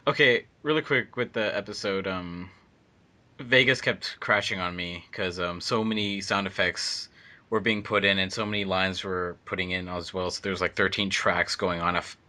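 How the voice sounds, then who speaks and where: A man with a deep voice talks through a fan commentary track. His tone is bright and pushy, and he rambles with frequent fillers and restarts. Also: fairly even intonation, moderate pace. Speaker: fandub editor and voice actor. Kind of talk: casual commentary chat.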